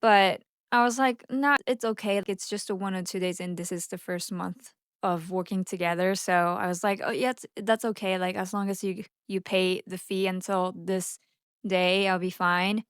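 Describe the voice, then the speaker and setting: A woman's voice: medium pitch, steady tone, normal speed, clear, resonant tone, no echo, clean studio sound. A woman who speaks Japanese as her first language, chatting candidly and unscripted to camera like a vlogger, sometimes stumbling over her words. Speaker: vlogger and social media marketing agency owner. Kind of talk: unscripted casual chat to camera.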